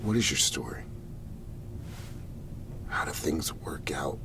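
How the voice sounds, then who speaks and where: A man playing a hesitant government agent in an ASMR roleplay, speaking in a soft, gravelly half-whisper with halting, reassuring delivery. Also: rumbling low, expressive, exaggerated voice. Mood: earnest, reassuring. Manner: halting, deliberate, reassuring, with long pauses. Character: hesitant, placating male government agent negotiating with a farmer.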